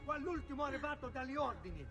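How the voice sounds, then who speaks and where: A man playing a brisk, commanding thief in a video game. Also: extremely high pitch, animated, over music. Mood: urgent. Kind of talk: video game cutscene acting.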